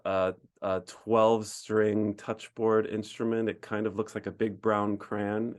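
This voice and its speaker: A man from Cleveland, a German studies professor, introducing himself on a panel with many fillers and light humor. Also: on the low side. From Cleveland, USA.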